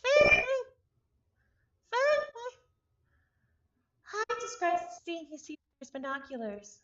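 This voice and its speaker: A high-voiced woman reads a visual novel aloud as a streamer. Her delivery is playful and theatrical, swinging widely in pitch and slipping into casual asides. The recording is a little dull and lo-fi. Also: sing-song, measured pace, a bit bright. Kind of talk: visual novel read-along with casual reactions.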